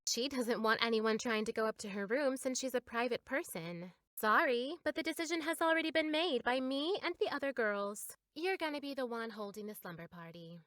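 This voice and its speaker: A woman's voice: on the high side, expressive, brisk, hardly any pauses, a bit bright, no room sound, studio quality. A woman voicing a blunt, casual teenage big sister in a cartoon, firm and matter-of-fact.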